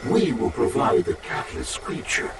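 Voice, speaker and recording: An actor playing a cold, calculating, imperious military artificial intelligence in a video game cutscene, speaking in formal, measured, menacing declarations. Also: male voice, medium pitch, sing-song, natural voice. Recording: very noisy, distant game cutscene audio.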